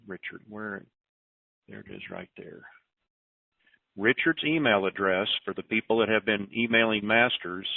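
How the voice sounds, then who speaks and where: A man hosting an informal group call in a folksy, disorganized way, rambling through announcements and thinking aloud. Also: fairly low pitch. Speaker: conference call host. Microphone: telephone. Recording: roomy, tinny phone conference line.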